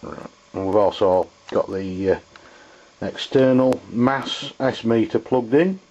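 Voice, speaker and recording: A man giving a casual, halting, unscripted product review as he goes. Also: on the low side, a little mellow, slight background noise. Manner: halting, hesitant delivery. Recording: dull lo-fi amateur video sound.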